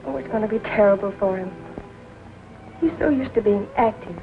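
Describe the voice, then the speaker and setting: A woman's voice: medium pitch, a little fast, over music, lightly acted. An actress playing a tender, distressed young woman, emotional and pleading in a 1940s film melodrama. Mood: worried, pitying. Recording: narrow-band 1940 film soundtrack.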